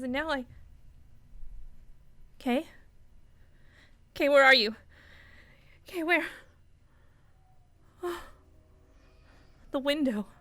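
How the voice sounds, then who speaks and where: A woman playing a frightened, protective young woman in a radio play, anxious, pleading and halting. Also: sits high, fairly bright tone.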